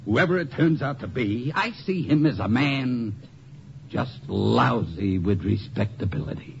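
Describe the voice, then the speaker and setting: Gloating american political boss, slangy bully, swingy voice, muffled noisy old radio show. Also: male voice, medium pitch, expressive, unhurried, vocal fry, a little mellow. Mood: smug, gloating. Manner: slangy, taunting, chuckling. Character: smug, bullying machine politician, crude and gloating. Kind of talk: 1950s radio comedy-drama acting.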